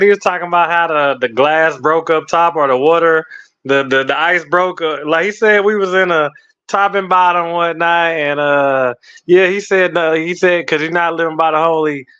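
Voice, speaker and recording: A man's voice: on the high side, normal speed, fairly bright tone, clean tone, no echo. A man telling a story in a rambling, slangy way, swearing and using lots of fillers. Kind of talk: casual storytelling. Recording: slightly muffled lo-fi audio.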